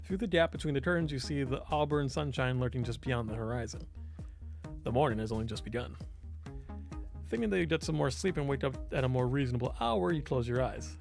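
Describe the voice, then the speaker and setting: A man's voice: medium pitch, fairly quick, creaky, a little mellow, soft background music. A man reading visual novel narration aloud expressively, as a let's player and voice actor would.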